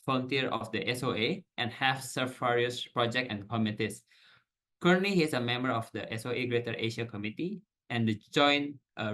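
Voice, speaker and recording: A man's voice: mid-range voice, fairly bright tone. A man moderating a webcast panel over a video call, reading speaker introductions steadily with frequent "uh" fillers.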